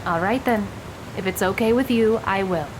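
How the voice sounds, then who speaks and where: A woman's voice: mid-range voice, normal speed, noisy background, close to an everyday voice. Sardonic, aloof teenage girl played by a woman. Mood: dry, detached. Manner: dry, curt, sarcastic. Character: cold, sarcastic thirteen-year-old magical girl. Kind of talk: audio drama acting.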